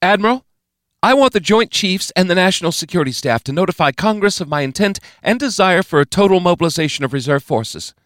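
A man's voice: sits high, animated, quick pace, fairly bright tone, dry recording, studio quality, voice pushed up. A man voicing a stern, commanding woman president, giving clipped, decisive orders in an audiobook. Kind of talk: audiobook character voice.

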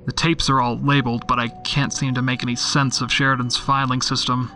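An actor playing a weary, skeptical homicide detective dictating case notes in a dry, sardonic, matter-of-fact way for an audio drama. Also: male voice, mid-range voice, flat delivery, a little fast, sharp tone, slight background noise. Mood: puzzled, dry. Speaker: detective narrator.

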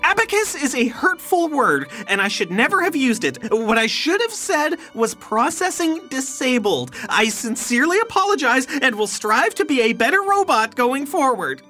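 An excitable, earnest robot in a comedy audio drama. Her voice is high and leaps around as she gushes dramatically, with music clearly behind her. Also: normal speed, hardly any pauses, exaggerated voice. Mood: indignant, then apologetic. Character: excitable, tender, earnest female robot human-catcher.

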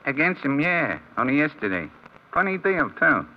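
An actor playing a chummy, streetwise bookmaker with clipped, slangy, amused talk in a 1950s radio drama. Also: male voice, fairly high pitch, big pitch swings, normal speed. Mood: chummy, offhand. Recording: muffled vintage radio recording.

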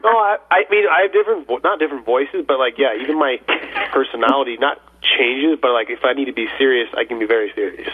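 A man co-hosting a radio talk show, playful and quick to laugh. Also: medium pitch, slight background noise. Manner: playful, laughs often, lively. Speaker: radio co-host. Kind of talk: casual studio chat. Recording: narrow phone-like radio sound.

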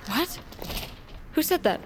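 A woman playing a grieving, guarded teenage girl in an audio drama, defensive and halting. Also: background noise, natural voice. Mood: unsettled. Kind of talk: naturalistic audio drama acting.

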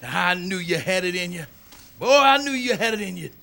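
A gruff, scoffing horse owner. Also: male voice, high-pitched, lively intonation, fairly quick, pushed and bright, full character voice. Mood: hearty. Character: gruff skeptical middle-aged male racehorse owner. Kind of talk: 1940s radio acting.

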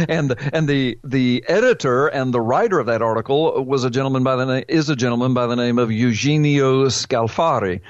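A man's voice: mid-range voice, no echo, dull audio. A man guest on a radio interview, talking earnestly and animatedly with folksy asides and many repeated words. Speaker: pastor and author guest.

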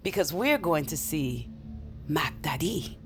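Brisk, wry female guide for urban fantasy audio fiction: gravelly, casual, set in noisy ambience. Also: sits low, expressive, exaggerated voice. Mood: matter-of-fact. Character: brisk, wry woman guiding a magical novice. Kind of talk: naturalistic audio fiction acting.

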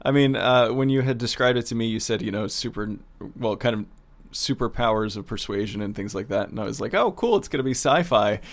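A man's voice: medium pitch, a little fast, hardly any pauses. A man co-hosting as a producer, asking questions and chatting in a casual, conversational interview style.

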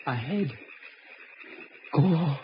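An actor playing an earnest, poetic young suitor with flowery, coaxing delivery in an old-time radio drama. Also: male voice, on the high side, lively intonation, drawn-out words, a little hiss or hum. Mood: uneasy. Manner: flowery, romantic, declaiming. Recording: dull old radio recording with music.

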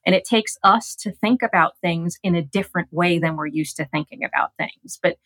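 A woman speaking as an expert guest on a radio interview, explaining ideas in an articulate, measured way. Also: on the low side, a bit bright, no room sound, poor audio. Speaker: gerontologist guest.

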